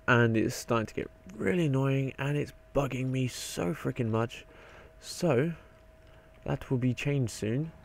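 Young man with a croaky, gravelly voice. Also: medium pitch, lively intonation, unhurried. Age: young adult. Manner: rambling, swears often, mutters to himself. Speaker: game video maker. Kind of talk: casual gameplay commentary.

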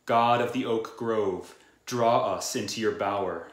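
Guy in his 30s reading a prayer real slow and reverent, kinda roomy sound. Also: on the low side. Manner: measured, reverent, phrase-by-phrase delivery. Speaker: worship prayer leader. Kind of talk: reading a scripted, poetic prayer. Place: small room at home. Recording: roomy indoor echo.

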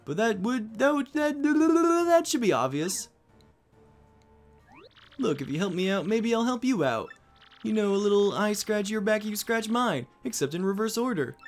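A man's voice: high-pitched, big pitch swings, moderate pace, soft background music, voice pushed up. A man voicing a wisecracking male talking treasure chest with a slick, joking, salesman-like patter. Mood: chummy, wheedling.

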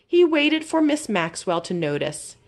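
Lady reading a storybook to kids, super animated storytelling, mid pitched. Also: unhurried. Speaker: library storytime reader. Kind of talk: expressive children's read-aloud.

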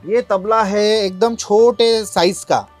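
Male craftsman interviewee, distinctly high voice, Hyderabadi accent, plain explanatory talk, music in the background. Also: fairly even intonation, takes it slowly. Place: small instrument workshop.

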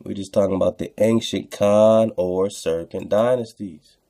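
A man's voice: lowish voice, fairly even intonation, a little slow, dark tone. A man hosting online radio, commenting casually on texts he reads aloud, repeating phrases for emphasis and addressing listeners in slang.

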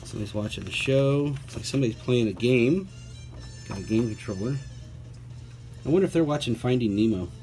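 A man's voice: medium pitch, animated, a little fast, long pauses, darkish tone, soft background music. Man reading a picture book to children. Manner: prompts listeners with questions and asides. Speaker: teacher reading aloud to children. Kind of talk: children's picture book read-aloud with conversational asides.

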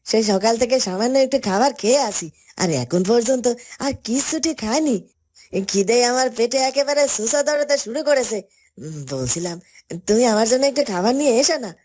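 High voice, whiny pleading, stammering starts, greedy childish husband done by a woman, fairytale cartoon. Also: lively intonation, fairly quick, darkish tone. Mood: whining, hungry. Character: whining, gluttonous, dim husband, childishly dependent on wife. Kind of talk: Bengali fairytale cartoon dubbing.

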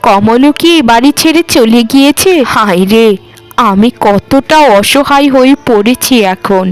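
A proud, scornful rich lady barks orders at her servants in a high, piercing voice, as a woman acts her out. She looks down on the poor and makes it known in every word of this cartoon. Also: unhurried, faint music behind, close to an everyday voice. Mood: ailing, desperate. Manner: scornful, commanding. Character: haughty rich mistress, later sick and humbled. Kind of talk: cartoon voice acting.

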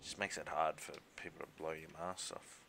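A man's voice: rumbling low, level tone, fairly quick, background noise. A man chatting casually as he streams a video game. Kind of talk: casual gaming chat. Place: indoors, voice over gameplay. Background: music.

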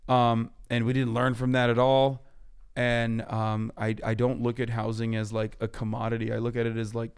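A man in his thirties, from Turkey, talks politics with a blunt and profane edge. His voice is smooth and clear. He speaks at a middling pitch into a boom mic in a podcast studio. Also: flat delivery. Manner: blunt, profane, rapid explaining. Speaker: podcast guest. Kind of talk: heated political chat. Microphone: boom mic on stand.

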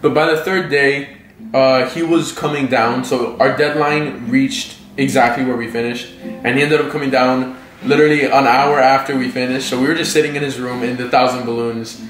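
A man's voice: mid-range voice, fairly even intonation, normal speed, a bit bright, echoey room, a little hiss or hum, rough recording. A young man from South Florida, a vlog host, telling a story to camera in a casual, chatty way. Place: living room with bookshelves.

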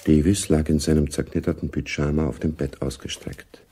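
A man narrating a radio drama as a grave, brooding storyteller, measured and sombre. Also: a bass voice, level tone, warm tone, slight background noise.

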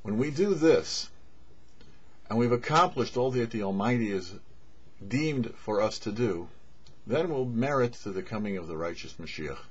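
A middle-aged man, a rabbi giving a short religious teaching in measured phrases, mixing in Hebrew terms. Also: mid-range voice, animated, breathy edge. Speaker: rabbi, host of a weekly Torah show. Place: indoors before a cloth backdrop. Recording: dull, muffled lo-fi audio.

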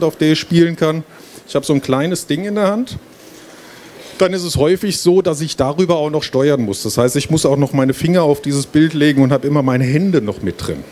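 A man's voice: on the high side, darkish tone. A man giving an informal, explanatory presentation in a conversational way into a handheld microphone. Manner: conversational, explanatory, with rhetorical questions. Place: stage at a busy book fair hall, before a seated audience. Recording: noisy fair hall ambience.

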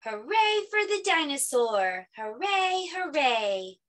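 A woman voicing excited, playful young children in a storybook, exclamatory and singsong. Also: extremely high pitch, measured pace, pitched above the performer's own voice, exaggerated voice.

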